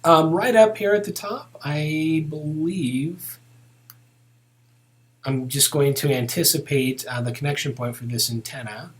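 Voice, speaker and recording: A man explaining a 3D modeling task step by step in a relaxed, methodical tutorial voice. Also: a little high, unhurried. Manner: relaxed, methodical, narrating each step as he works. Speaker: software tutorial instructor. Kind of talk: screen-recorded software tutorial narration.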